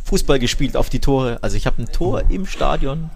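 A man's voice: medium pitch, expressive, brisk, gravelly. A man co-hosting a football podcast, telling stories in casual, slangy and enthusiastic talk.

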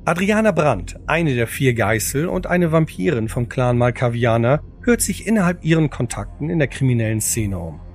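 A man's voice: medium pitch, big pitch swings, moderate pace, hardly any pauses. A man reading scripted narration as the narrator of an audio drama. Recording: voice over background music. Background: music.

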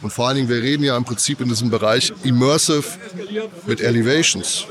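A man's voice: mid-range voice, fast. Guy with a cold rambling about speakers at a trade fair, lots of fillers. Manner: loose, filler-heavy explaining. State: has a cold. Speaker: audio company representative. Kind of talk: casual trade-fair interview.

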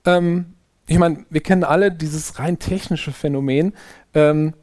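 A man's voice: fairly high pitch, steady tone, measured pace, darkish tone, no echo, studio quality. A man hosting an interview, asking reflective and courteous questions in a conversational way. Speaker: interviewer host.

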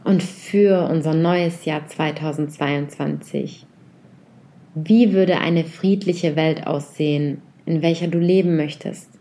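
A woman leading a guided reflection meditation, speaking softly and unhurriedly as she puts a series of gentle questions directly to the listener. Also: deep voice, steady tone, takes it slowly.

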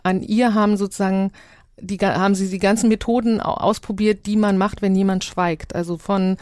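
A woman's voice: mid-range voice, fairly even intonation, brisk, a little mellow, no room sound, clean studio sound. A woman giving an informal, spontaneous first-person account as a discussion guest, thinking aloud as she searches for words. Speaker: guest, author of an audio documentary project.

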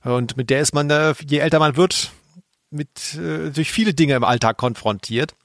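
A man's voice: on the high side, moderate pace, no echo, studio quality. A man co-hosting a podcast in casual, analytical chat, often checking for agreement with little tag questions. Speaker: podcast co-host.

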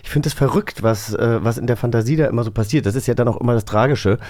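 A man's voice: medium pitch, fast, a little mellow. A man, asking questions and chatting casually as an interviewer. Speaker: interviewer, actor.

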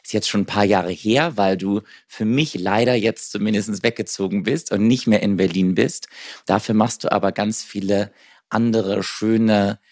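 A man hosting a podcast, introducing his guest and asking questions in a calm, measured way. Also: on the low side, dry recording, studio quality. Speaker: podcast host. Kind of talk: podcast interview.

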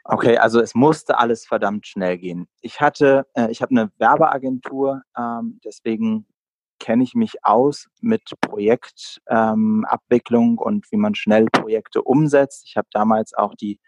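A man's voice: medium pitch, a little slow, no room sound, low-quality recording. A man in his late twenties from Berlin, answering interview questions conversationally as a guest entrepreneur. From Berlin, Germany.

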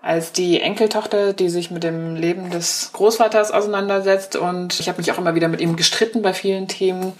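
A woman in her thirties who grew up in Germany, a correspondent thoughtfully recounting personal memories over the phone in an interview. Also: on the low side. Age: 30s. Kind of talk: reflective interview answers. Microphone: telephone.

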